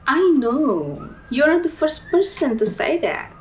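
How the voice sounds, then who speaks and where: A woman playing a gentle, lonely village woman in love in a radio drama. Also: high voice, very animated, slow pace, heavily acted. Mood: bashful. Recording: faint background noise.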